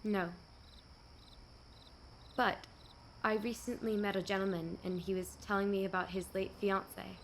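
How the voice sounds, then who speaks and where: A woman's voice: medium pitch, steady tone, measured pace, long pauses, slight background noise, natural voice. An actress playing a struggling young woman in a radio play, guarded but hopeful. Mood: downcast, guarded.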